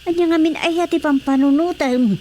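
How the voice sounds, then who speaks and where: A woman's voice: high-pitched, moderate pace, a little mellow, close to an everyday voice. A woman playing a fussy, questioning village mother in an Ilocano radio drama comedy, with some background noise. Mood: concerned.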